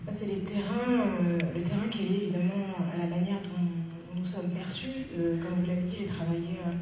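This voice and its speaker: A woman with a Parisian accent, a guest speaking in a public debate on a theatre stage, articulate and measured with frequent hesitations. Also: on the low side, fairly even intonation, mellow, distant mic, slight background noise. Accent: Parisian French. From Paris, France. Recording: echoey hall, picked up from afar.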